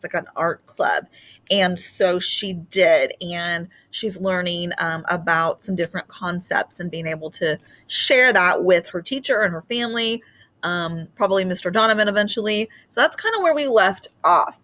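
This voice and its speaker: Slow-paced woman teacher reading aloud. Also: mid-range voice, bright, forceful tone. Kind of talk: read-aloud lesson with informal recap.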